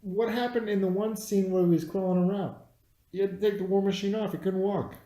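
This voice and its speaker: A man's voice: high voice, steady tone, fairly quick, mellow. A man co-hosting an amateur movie review in casual back-and-forth, stubbornly repeating his points. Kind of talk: casual argumentative film chat between friends. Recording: echoey room with slight noise.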